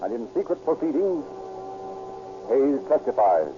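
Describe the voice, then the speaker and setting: A man's voice: medium pitch, big pitch swings, measured pace. A man delivering scripted, dramatic narration in the style of a 1940s radio crime show. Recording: muffled vintage radio recording, loud music. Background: music.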